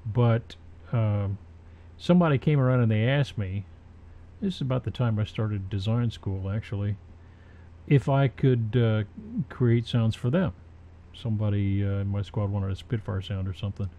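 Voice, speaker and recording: A man presenting an audio tutorial in an informal, rambling way, with halting pauses and personal anecdotes, on a slightly dull recording. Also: lowish voice.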